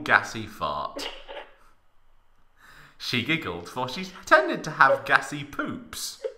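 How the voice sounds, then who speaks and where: A young man narrates in a mock-dramatic style with exaggerated emphasis. His voice is very bright and forceful, swinging widely in pitch, and it rings in an echoey room. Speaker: podcast narrator. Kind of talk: mock-dramatic comic reading of fan fiction.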